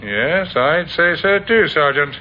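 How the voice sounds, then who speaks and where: A man playing a thoughtful, methodical police inspector in an old radio drama, hesitant and deliberate in his questions. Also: on the high side, animated. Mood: pensive. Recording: muffled old radio recording, faint hiss.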